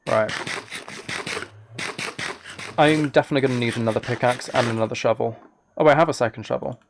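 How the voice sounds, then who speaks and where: Gravelly man chatting casually while gaming. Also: mid-range voice, a little fast, background noise. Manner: relaxed, thinking aloud, muttering to himself. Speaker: streamer. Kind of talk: casual live game stream chat. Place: indoors at a computer. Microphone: close microphone.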